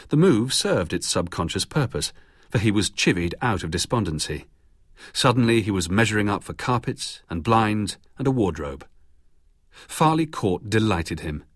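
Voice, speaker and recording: A man's voice: on the low side, dry recording, studio quality. A British man narrating a scripted biography for radio.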